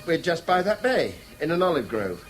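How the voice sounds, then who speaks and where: A man's voice: fairly high pitch, big pitch swings, normal speed, soft background music. A British actor in a 1970s radio drama playing a guarded, conscientious man with a polite, hesitant manner.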